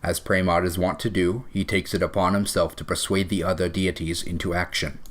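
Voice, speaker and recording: A male narrator with a deep voice reads scripted storytelling. His delivery is that of a narrator setting scenes for an audio drama. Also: flat delivery, brisk, a little hiss or hum.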